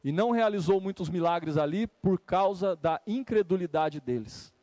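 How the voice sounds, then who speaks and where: A man's voice: high voice, animated, normal speed, mellow, no echo. A Brazilian man preaching a church sermon, repeating phrases for emphasis and speaking directly to his listeners in a conversational way. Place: live church service.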